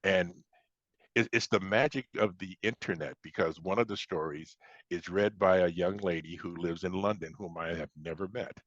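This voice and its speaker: A man's voice: low voice, normal speed, dry recording, highs cut off. An American man in his seventies from Chicago talking casually as an interview guest, hesitating and repeating words as he reminisces. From Chicago, United States. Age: 70s.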